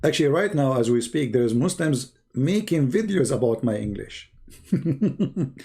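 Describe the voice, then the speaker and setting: Gravelly guy, mocking and sarcastic, stops and starts a lot, desk mic. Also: medium pitch, expressive, normal speed, mellow. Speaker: channel host. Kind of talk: mocking livestream commentary.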